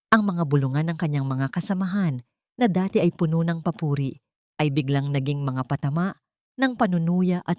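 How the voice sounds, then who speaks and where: A woman's voice: sits low, a little mellow, dry recording, clean studio sound. A woman reading a scripted dramatic story as narrator, in a solemn, melodramatic manner.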